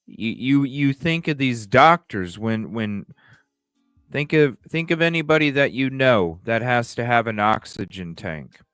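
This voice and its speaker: A man's voice: medium pitch, animated, a little slow, fairly bright tone, smooth, dry recording, clean studio sound, soft background music. A man hosting a livestream with casual, speculative commentary, repeating phrases and asking rhetorical questions. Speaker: livestream host.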